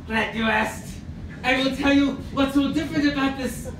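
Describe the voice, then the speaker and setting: A woman's voice: high-pitched, normal speed, close to an everyday voice. A woman acting an obsessed, secretive professor in a stage version of a radio play, with a dramatic, emphatic delivery. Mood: fervent, grandiose. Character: obsessed, secretive professor hunting a buried ancient machine. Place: theatre stage, picked up from afar. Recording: roomy, distant stage recording with faint noise.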